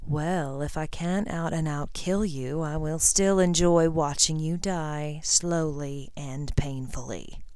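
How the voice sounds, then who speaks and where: Brief: a woman playing a sneering older wizard villain, low and cold, storybook reading. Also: slow pace, few pauses, darkish tone, clean tone, no room sound. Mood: menacing.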